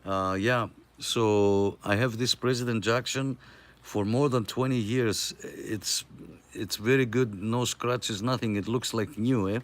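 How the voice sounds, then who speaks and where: A man's voice: fairly low pitch, steady tone, measured pace. A middle-aged man from Greece with a Greek accent, hosting a casual CB radio chat in a friendly, hesitant way with lots of fillers. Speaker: CB radio net host.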